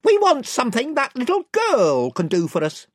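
A man's voice: very high voice, wildly swooping pitch, moderate pace, no echo, clean studio sound, pitched above the performer's own voice, full character voice. A man voicing a self-important, rambling chief of simple folk in a storybook, with a folksy manner. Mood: blustering. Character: pompous, rambling, rustic invisible chief, male.

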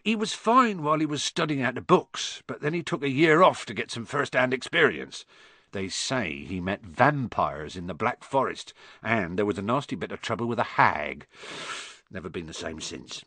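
A man's voice: medium pitch, animated, normal speed, sharp tone, no echo. A man voicing a huge, friendly male giant who talks in a hearty, colloquial, blunt way.

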